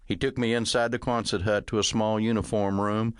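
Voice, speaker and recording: Low-voiced man narrating a comic novel. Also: flat delivery, fairly quick, clear, resonant tone, no room sound, studio quality. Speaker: audiobook narrator reading own comic novel. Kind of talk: scripted first-person narration.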